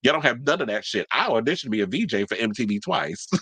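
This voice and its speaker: A man's voice: mid-range voice, lively intonation, fast talker, bright, forceful tone, slightly rough, dry recording. A man hosting a celebrity gossip show, talking in a blunt, chatty way, with a stuffy, congested voice. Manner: chatty, blunt, swears freely. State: congested from allergies. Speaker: celebrity gossip podcast host. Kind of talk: casual solo commentary.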